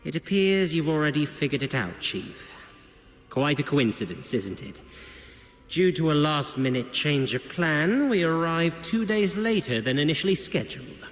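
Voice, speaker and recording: A man's voice: a little high, animated, lightly acted. A man voicing a suave, theatrical subordinate officer in a video game, with a flowery, insinuating way of reporting. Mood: sly, deferential. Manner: flowery, insinuating, deliberate storytelling. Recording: echoey hall reverb with music.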